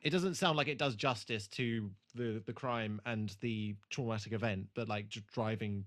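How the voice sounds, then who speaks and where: A man's voice: fairly low pitch, moderate pace, fairly bright tone. A British man co-hosting a podcast, reviewing an episode in casual, hesitant chat as he thinks aloud. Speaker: podcast co-host.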